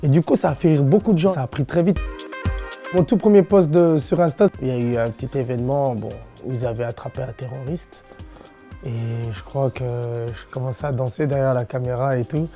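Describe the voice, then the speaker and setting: A young man talks in a relaxed, playful, slangy way and leans on fillers. His voice is mellow and sits at an ordinary pitch. He sounds like a dancer and online comedian chatting in an interview. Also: brisk, soft background music. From Belgium. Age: 20s. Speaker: dancer and social media comedian. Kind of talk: casual interview answers.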